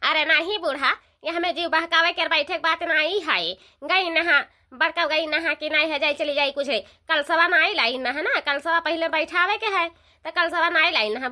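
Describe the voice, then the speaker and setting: Woman playing a high, bright-voiced chatty village daughter-in-law. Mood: explaining, complaining. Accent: eastern Uttar Pradesh. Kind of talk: Hindi-belt cartoon dialogue.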